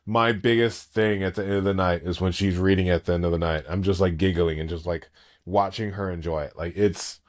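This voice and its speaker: A man's voice: deep voice, fairly even intonation, brisk. Enthusiastic man in his thirties. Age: 30s. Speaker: podcast co-host. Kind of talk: casual fan chat.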